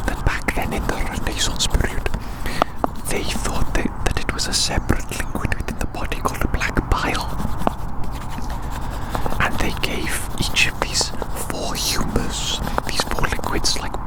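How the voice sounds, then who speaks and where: A man speaking in a breathy whisper, rambling softly in an ASMR style and repeating phrases slowly. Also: poor audio. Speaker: ASMR creator.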